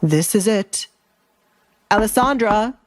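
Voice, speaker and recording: A woman's voice: medium pitch, animated, takes it slowly, a little hiss or hum. A woman voicing an evasive, obsequious middle-aged baron in a romance audio drama. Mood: uneasy. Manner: deferential, evasive. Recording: background music under the voice.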